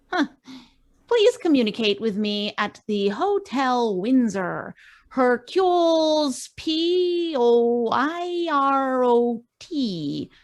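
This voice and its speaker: A woman's voice: high voice, full character voice. A woman playing a brash, wisecracking young rental clerk in an old-time radio play over a video call. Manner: brash, sarcastic. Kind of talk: 1940s radio acting.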